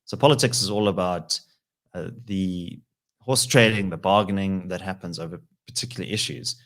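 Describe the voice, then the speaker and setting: A man, a political analyst answering interview questions in a measured, explanatory way with frequent pauses. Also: low voice, fairly bright tone.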